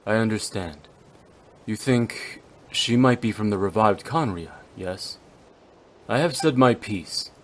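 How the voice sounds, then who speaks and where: A man playing a stern, brooding immortal swordsman in an anime-style game, speaking formally and gravely. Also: on the low side, takes it slowly. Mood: grave, restrained.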